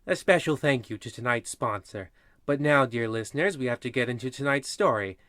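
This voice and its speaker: An actor playing a macabre, theatrical and sardonic host in a parody of old radio horror shows. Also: male voice, medium pitch, animated, fairly quick, a bit bright, no echo, studio quality, lightly acted.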